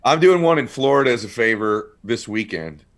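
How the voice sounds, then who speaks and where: Animated middle-aged man, casual talk. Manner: animated, quick storyteller, rising to excited highs. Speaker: guest speaker. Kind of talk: casual conversation.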